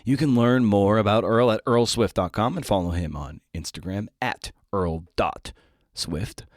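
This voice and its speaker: A man hosts a podcast in a fairly low voice. He moves between announcements and interview talk, recorded dry with little room sound. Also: measured pace, dry recording. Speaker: podcast host. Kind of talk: scripted podcast intro and interview questions.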